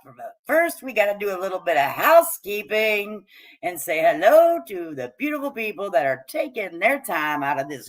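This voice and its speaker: A woman's voice: medium pitch, a bit bright. A woman hosting a casual gossip livestream in a sassy, folksy way, with slang and pet names. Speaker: YouTube live stream host.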